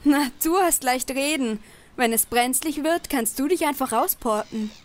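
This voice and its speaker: A woman's voice: sits high, moderate pace, close to an everyday voice. A woman playing a sceptical, sarcastic young adventurer in a comedic fantasy audio drama. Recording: faint background noise.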